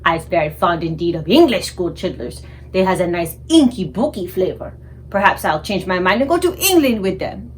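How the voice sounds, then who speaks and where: A woman voicing a greedy, bullying male giant who eats people, booming and blustering in a children's storybook reading. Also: big pitch swings, normal speed. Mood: gloating. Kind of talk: children's storybook read-aloud.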